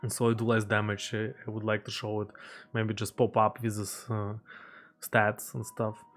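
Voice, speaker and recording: A man who is not a native English speaker, an indie game developer talking through his game in a casual devlog voice-over, halting often with fillers and restarts. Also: lowish voice, completely flat delivery, soft background music.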